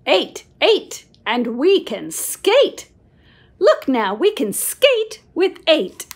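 A middle-aged woman reading a picture book aloud to young children in an expressive, sing-song way. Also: sits high. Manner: expressive, sing-song, slow, with whispered asides. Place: small room at home.

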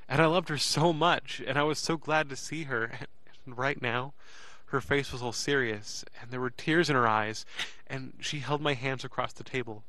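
A man plays an earnest young man telling his own story in an audio drama. His voice sits at a middle pitch and carries plenty of emotion. He phrases deliberately, with dramatic pauses and repeated words. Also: pushed and bright, no room sound, close to an everyday voice. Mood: tender. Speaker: narrator. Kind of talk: first-person audio drama narration.

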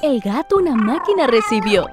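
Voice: medium pitch, sing-song, moderate pace, close to an everyday voice. A performer voicing a kind, eager cartoon helper, speaking in rhymes for small children. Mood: kindly. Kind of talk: rhyming children's cartoon dialogue. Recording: loud music under the voice.

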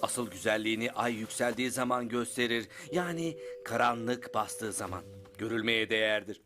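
A man's voice: fairly low pitch, normal speed, music in the background, lightly acted. A man voicing a friendly, knowledgeable grown-up insect in a children's cartoon dub, explaining things expressively. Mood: enthusiastic explaining.

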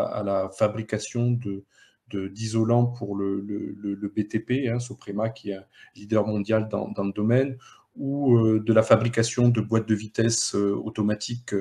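A middle-aged man giving an explanatory talk over a video call on a headset, halting and repeating small words as he goes. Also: fairly low pitch, flat delivery, mellow. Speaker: local economic development director, panellist. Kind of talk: explanatory webinar presentation. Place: indoor room, on a video call.

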